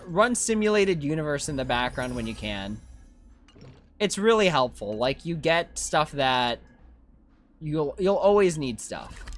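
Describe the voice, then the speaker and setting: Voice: mid-range voice, fairly bright tone. A streamer giving casual, rambling, easygoing commentary while playing a video game. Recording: game music behind voice. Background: video game music.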